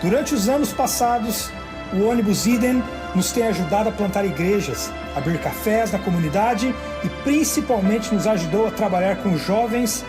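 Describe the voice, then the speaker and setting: High-voiced man over video music. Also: normal speed. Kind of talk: testimony voice-over in a ministry video.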